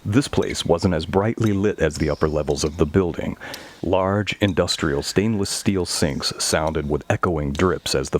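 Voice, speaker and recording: A man's voice: low voice, slight background noise. A man reading scripted narration for a horror audio story.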